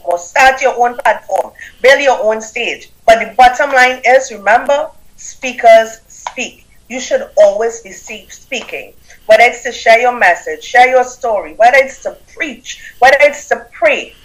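A woman's voice: a little high, rough recording. A woman with a Trinidadian accent, a guest speaker and business coach giving emphatic motivational advice and repeating punchy phrases. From Trinidad and Tobago. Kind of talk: motivational interview talk.

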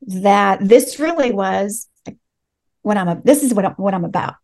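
A woman's voice: medium pitch, lively intonation, moderate pace, a little mellow. A middle-aged woman from Los Angeles, a native Spanish speaker, telling her story in an earnest, reflective way as an interview guest. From Los Angeles, United States. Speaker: life coach, interview guest. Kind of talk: interview conversation.

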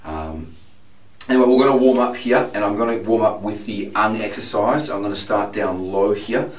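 A voice teacher with a congested, slightly hoarse voice from laryngitis, candidly describing his symptoms in a video diary. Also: on the low side. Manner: candid, detailed, unhurried self-report. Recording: roomy, distant, piano in background.

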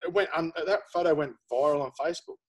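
A man's voice: a little high, fast talker. A man, chatting casually as a podcast guest, rambling with lots of fillers. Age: 30s. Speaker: guest photographer.

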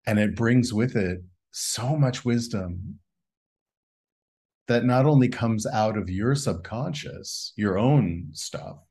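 A man's voice: fairly low pitch, darkish tone. A man speaking as a guest in a reflective spiritual conversation, musing and asking a string of rhetorical questions. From United States.

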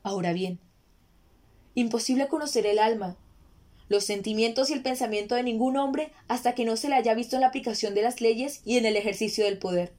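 A woman's voice: on the high side, brisk, darkish tone, close to an everyday voice. A woman playing a stern, proud king of ancient Thebes in a solemn, formal reading of Greek tragedy. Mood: grave, measured. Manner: solemn, declamatory, formal oratory.

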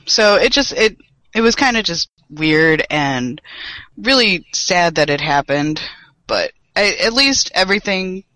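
A man's voice: sits high, animated, measured pace, bright, forceful tone, dry recording, low-quality recording. A young man co-hosting a casual podcast chat, joking and swearing freely, with a dry voice today. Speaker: podcast co-host.

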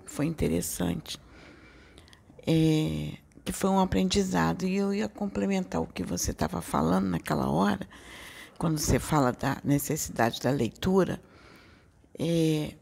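A woman adding remarks to a casual spiritual discussion in a measured way. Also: lowish voice, gravelly, darkish tone, husky.

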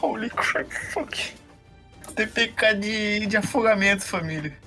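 A woman's voice: mid-range voice, unhurried, sharp tone, faint music behind. A woman with a Brazilian accent playing a tabletop game, chatting casually and with plenty of slang.

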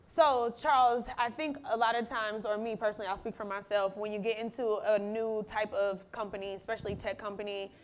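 A woman's voice: mid-range voice, steady tone, fairly quick, hardly any pauses. A woman moderating a panel, asking long, searching questions.

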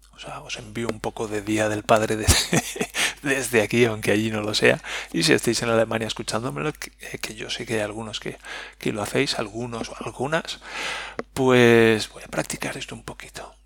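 A man with a Spain Spanish accent hosting a reflective personal podcast, thinking aloud with pauses and restarts. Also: fairly low pitch, vocal fry, fairly bright tone, dry recording. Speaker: podcast host.

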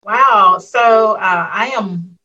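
Husky-voiced woman in her fifties. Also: medium pitch, drawn-out words, dull audio. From Arkansas. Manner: warm, reflective storyteller with frequent fillers. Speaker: school superintendent, guest. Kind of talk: casual interview over a call.

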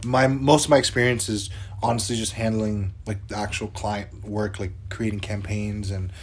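A man's voice: lowish voice, little pitch movement, normal speed. A man in his twenties co-hosting a podcast, chatting in an upbeat, loose way with lots of fillers. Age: 20s. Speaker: podcast co-host. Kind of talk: casual podcast chat.

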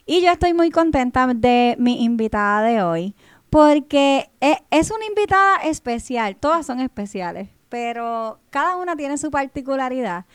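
A woman's voice: high-pitched, lively intonation, normal speed, clear, resonant tone, no echo, clean studio sound. A woman hosting a conversational podcast interview, rephrasing as she goes. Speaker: podcast host.

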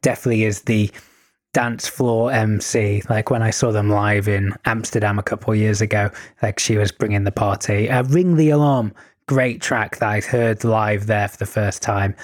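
A man with a lowish voice hosts a music podcast in relaxed, casual chat. He teases his co-host with dry humor, and he is recorded close with a dry sound. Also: normal speed, no echo. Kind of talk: casual radio-style chat.

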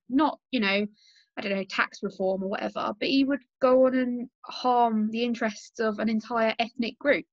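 Higher-voiced British woman, roomy muffled sound. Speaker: podcast host. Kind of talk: political podcast discussion. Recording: muffled, roomy home recording.

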